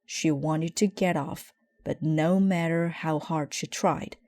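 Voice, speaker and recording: A woman reading a children's story aloud in a measured, expressive way. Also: low voice, no echo. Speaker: storybook reader.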